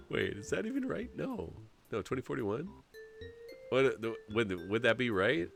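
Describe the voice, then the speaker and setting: Animated, jokey streamer man in his 40s. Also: mid-range voice, unhurried, creaky, music in the background. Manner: animated and jokey, laughs often, rambles. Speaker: streamer playing a story game. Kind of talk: live game playthrough, reading narration aloud and commenting.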